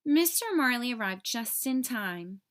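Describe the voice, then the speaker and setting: High-voiced woman reading a children's story. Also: animated, a little slow. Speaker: storybook reader. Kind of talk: scripted children's story narration.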